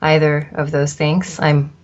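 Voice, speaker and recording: Low-voiced thoughtful woman from Japan. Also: completely flat delivery, a little mellow, dull audio. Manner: thoughtful, measured, with frequent um fillers. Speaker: arts agency founder, interview guest. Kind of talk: reflective interview answers.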